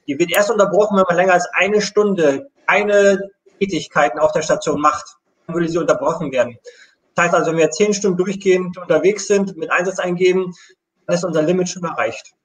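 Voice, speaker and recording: Middle-aged man, slightly high voice, step-by-step explanations, video call from home. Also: fairly quick, rough recording. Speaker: air rescue station manager and pilot. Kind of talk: explanatory live video talk. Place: room at home.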